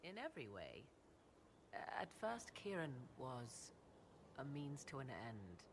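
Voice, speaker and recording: An actress playing a proud, enigmatic sorceress in a video game, speaking in theatrical, archaic and formal phrases. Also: female voice, extremely low pitch, huge pitch swings, takes it slowly, background noise, exaggerated voice. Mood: tender, reflective. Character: proud, enigmatic apostate sorceress, arcane advisor. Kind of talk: video game dialogue acting.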